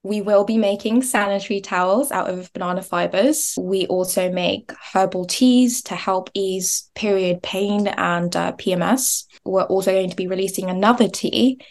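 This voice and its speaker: A woman's voice: mid-range voice, moderate pace, hardly any pauses. A young woman from Nigeria talking chattily as a podcast guest, often laughing and restarting words. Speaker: guest, business founder. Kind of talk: podcast interview.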